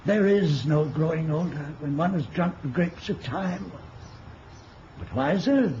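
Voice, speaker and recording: An ancient wizard speaks in a high-pitched voice with grand, poetic, archaic phrasing. He is a wistful mentor in an old radio play. The sound is dull, with some background noise. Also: lively intonation, measured pace, a little mellow.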